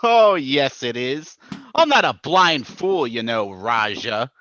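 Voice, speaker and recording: An actor playing a blustering, angry crooked stockbroker in a live old-time radio drama. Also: male voice, medium pitch, very animated, slow, bright, forceful tone, dull audio, heavily acted. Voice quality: blustering, tough-talking. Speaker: stage actor in radio play.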